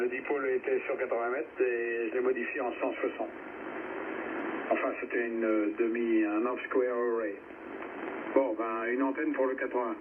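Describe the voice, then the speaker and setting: Man, medium pitch, phone-thin radio sound, clear noise, casual ham radio chat. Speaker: amateur radio operator. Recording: tinny narrow shortwave radio, clear static noise.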